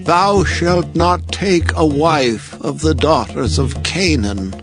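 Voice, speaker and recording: A man playing a solemn aged biblical father, speaking in a measured way in a dramatized scripture reading. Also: a little high. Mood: stern. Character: Isaac, solemn aged father giving his blessing. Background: music.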